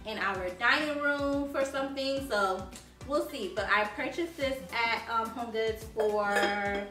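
Young woman vlogger from Haiti, bright, chatty and bubbly, seated on a couch, recorded roomy from a distant camera mic. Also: fairly high pitch, a little slow, soft background music. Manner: chatty, bubbly, talks to viewers with many "like"s. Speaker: home decor vlogger. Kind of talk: casual haul video. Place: living room, seated on a sofa.

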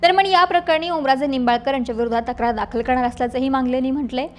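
A woman's voice: sits high, racing, faint music behind. A woman, reading scripted news narration as a presenter.

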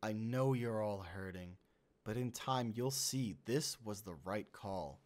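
A man playing an inhuman host who poses as a trusted friend in a comic dub, speaking in a measured, reassuring way. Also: lowish voice, lightly acted. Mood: calm, ominous.

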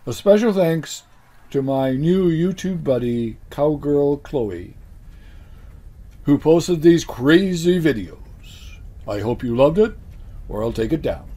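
An older man delivering a deadpan mock news report as a comedy anchor, in a dry, unhurried newsreader manner. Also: on the high side. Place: studio set with green-screen backdrop.

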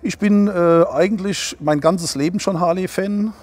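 A man in his sixties, co-owner of a dealership, talking calmly in an interview with many hesitations. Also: sits high, unhurried. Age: 60s. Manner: relaxed, hesitant with many fillers. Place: showroom, seated on a sofa indoors.

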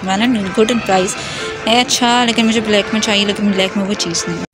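A woman's voice: mid-range voice, steady tone, brisk. A young Pakistani woman chatting casually for a family vlog, mixing in English phrases, recorded on a handheld phone. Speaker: family vlogger. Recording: music laid over the voice. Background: music.

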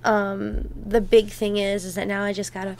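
A woman playing an affectionate, playful girlfriend in an ASMR roleplay, talking softly and intimately, sometimes close to a whisper. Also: medium pitch, level tone, close to an everyday voice. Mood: cheerful, relaxed. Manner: soft, affectionate, unhurried, dropping into near-whispers.

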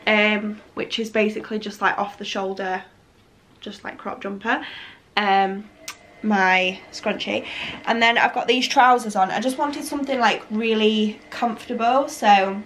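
A young woman in her twenties, slightly husky as she gets over a cold, chatting to camera in her living room with lots of fillers. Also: mid-range voice, steady tone, measured pace, fairly bright tone, a little hiss or hum, rough recording. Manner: rambling, many fillers and restarts. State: recovering from a cold. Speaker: vlogger. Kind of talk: casual chat to camera. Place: living room at home, indoors. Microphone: camera on a tripod.

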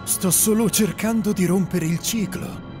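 A man voicing a scheming, taunting animatronic bear villain in a cartoon parody dub, with a theatrical gravelly delivery. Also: sits high, unhurried, over music. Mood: smug menace.

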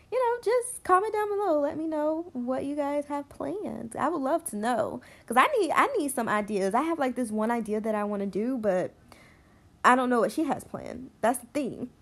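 Stuffy-nosed chatty woman vlogger. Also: on the high side. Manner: rambling, chatty, lots of fillers. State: stuffy, congested. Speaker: nail tutorial vlogger. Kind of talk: casual voice-over tutorial.

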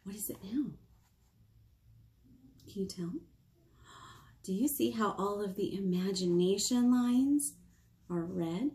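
A woman in her thirties, a children's librarian, reading picture books aloud in a lively, sing-song way with whispers, recorded close on a webcam in a small room. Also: mid-range voice, takes it slowly, pauses often. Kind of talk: storytime read-aloud for children.

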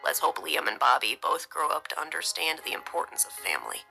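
A woman voicing an earnest, tender man, a husband and father, in an audiobook, speaking in a sober, hushed way. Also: low voice, sing-song, a little fast, vocal fry, faint music behind, heavily acted.

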